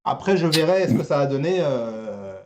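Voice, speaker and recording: A man's voice: on the high side, sing-song, moderate pace, a little mellow. A man hosting a casual panel chat about geek culture news. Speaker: host.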